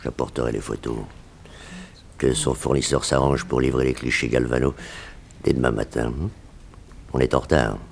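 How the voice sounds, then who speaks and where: An actor playing a tough, cynical gang boss in a 1960s French radio crime drama, gruff and condescending. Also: male voice, rumbling low, fairly even intonation, moderate pace, pauses often, full character voice. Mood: businesslike orders. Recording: noisy vintage radio drama recording.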